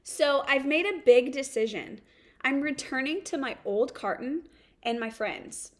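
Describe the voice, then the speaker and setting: Woman as an earnest, high-pitched storybook good egg. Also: lively intonation. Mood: calm, reflective. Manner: deliberate, emphatic, sing-song storytelling. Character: eager, earnest, overworked good egg, storybook narrator-hero. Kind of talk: expressive first-person picture-book read-aloud.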